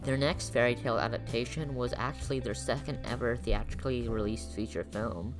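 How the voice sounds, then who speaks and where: A man's voice: mid-range voice, brisk, music in the background. A man reading scripted narration for a video essay.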